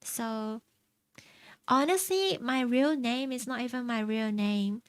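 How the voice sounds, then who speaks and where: A young woman from China chatting casually on stream, answering her chat in a soft, rambling way and laughing often. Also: a little high, slow pace, clear, resonant tone, no echo. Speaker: VTuber streamer. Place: home streaming setup.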